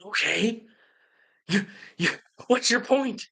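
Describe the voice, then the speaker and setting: Animated, bright-voiced man ranting on a livestream. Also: extremely high pitch, takes it slowly, gravelly, slightly rough. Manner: emphatic, preachy, swings into shouting for emphasis; peppers talk with "right?" and "man". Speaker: livestream host. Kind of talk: live Q and A commentary, ranting.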